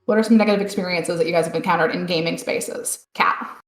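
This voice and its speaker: A woman's voice: fairly low pitch, fast, echoey room. Chatty woman hosting a panel. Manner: relaxed, chatty, many fillers like "end up". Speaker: panel host. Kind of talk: hosting a live panel discussion.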